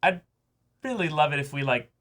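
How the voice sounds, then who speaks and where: A man's voice: on the high side, expressive, a little fast, a bit bright. A man co-hosting a reaction show, discussing casually and emphatically, swearing and acting out imagined quotes. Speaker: co-host of an anime reaction show.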